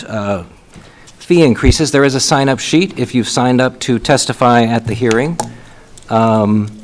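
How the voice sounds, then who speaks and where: A man chairing a city council meeting, speaking in a halting way with frequent restarts and fillers as he runs votes and introduces items. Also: medium pitch, a little hiss or hum.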